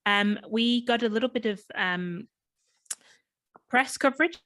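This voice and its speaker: A woman's voice: fairly high pitch, unhurried, pushed and bright. A woman from Northwest England, her accent touched by an Australian twang, giving a friendly online talk with many ums and restarts. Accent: Northwest English, between Manchester and Liverpool, slight Australian twang. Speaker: chemist and guest presenter. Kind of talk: online presentation. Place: home in lockdown, video call.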